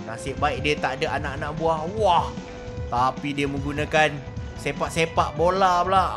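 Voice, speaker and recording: High-pitched Malaysian man commentating on a game. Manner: exclamatory, animated reactions. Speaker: gaming video host. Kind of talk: excited gameplay commentary.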